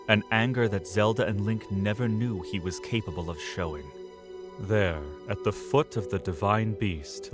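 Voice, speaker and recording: Low-voiced man narrating a fantasy drama. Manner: measured, dramatic storytelling. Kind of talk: scripted audio drama narration.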